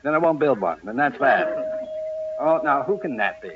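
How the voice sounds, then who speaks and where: A man's voice: medium pitch, animated, moderate pace, noisy background, natural voice. A man playing a stubborn, exasperated husband and homeowner in an old-time radio comedy, indignant and flustered in a clipped delivery. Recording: dull, band-limited vintage radio recording.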